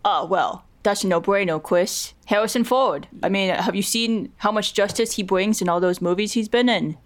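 Someone doing a smug demon politician, smooth put-on accent. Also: mid-range voice, natural voice. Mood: breezily confident. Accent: put-on character accent. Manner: halting, boastful, self-satisfied delivery. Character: smug, petty demon politician, male, newly elected president of hell. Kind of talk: improvised comedy podcast acting.

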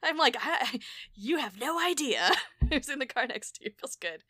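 Podcast host lady, kinda high voice, super bright, keeps restarting sentences, dry sound. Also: normal speed, no echo. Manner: animated, frequent restarts and exasperated asides. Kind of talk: casual conversation.

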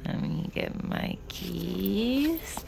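An actress playing a tipsy, smitten woman on a first date in an intimate audio drama, flirty and nervous, with a breathy, creaky voice. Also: mid-range voice, very animated, very slow, exaggerated voice. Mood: tipsy, giggly. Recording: music behind the voice. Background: music.

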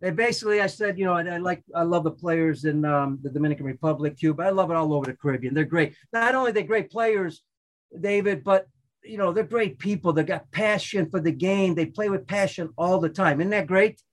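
A man's voice: high-pitched, a little fast. A man hosting a podcast, chatting upbeat and rambling, often restarting his sentences. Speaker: podcast host. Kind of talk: casual chat.

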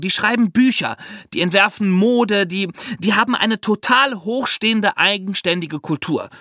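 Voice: mid-range voice, pushed and bright, dry recording, clean studio sound. A podcast guest explaining things to young listeners with vivid comparisons and questions. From China.

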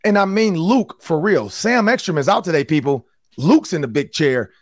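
A man hosting a sports podcast in a chatty, upbeat way, his voice a little hoarse and raspy. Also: a little high, expressive, normal speed, no echo, studio quality. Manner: upbeat, chatty, frequent restarts. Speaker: podcast host. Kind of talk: casual sports talk.

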